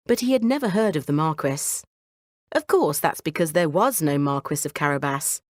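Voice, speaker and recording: A woman's voice: sits low, no echo, studio quality. A woman narrating a scripted bedtime story for children as the storyteller.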